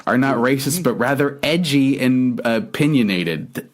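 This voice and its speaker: A man's voice: medium pitch, expressive, a little slow. A man co-hosting a casual podcast, rambling with restarts, reading news aloud and cursing in mockery. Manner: rambling, reads news aloud, profane and mocking. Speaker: podcast co-host.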